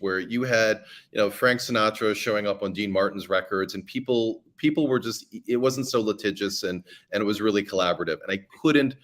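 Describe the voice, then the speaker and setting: A man's voice: fairly low pitch, a bit bright. A middle-aged man who creates fiction podcasts, talking earnestly as an interview guest and often restarting his sentences. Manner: earnest, with frequent restarts and repeated words. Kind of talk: conversational interview answers.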